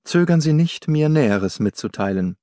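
A man's voice: on the high side, lively intonation, moderate pace, warm tone, dry recording, studio quality, pitched above the performer's own voice, lightly acted. A man voicing a composed, strong-willed young woman in an audiobook, forthright and proud. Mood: tense, controlled. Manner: determined, forthright.

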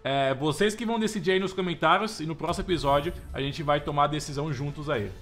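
A man's voice: fairly high pitch, quick pace, soft background music. A man with a Brazilian accent giving slangy, casual commentary on a football game he is playing. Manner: slangy, chatty, keeps saying "mano" and "velho"; gets loud and excited over the crowd. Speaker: gameplay commentator. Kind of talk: casual let's-play commentary.

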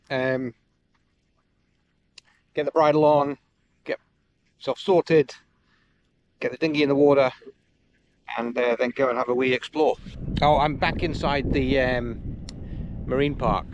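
A man in his fifties, chatting casually to camera while sailing alone, rambling with lots of ums and pauses. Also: fairly even intonation. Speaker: solo sailing vlogger. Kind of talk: casual running commentary to camera. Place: outdoors on a sailboat on open water. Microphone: action camera on the boat. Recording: wind and boat engine rumble behind.

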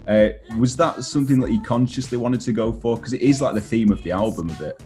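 A young man in his twenties interviewing a musician on a video call with a headset, in a relaxed, laid-back way. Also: mid-range voice, fast, faint music behind. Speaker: interviewer, show host. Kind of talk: casual interview questions.

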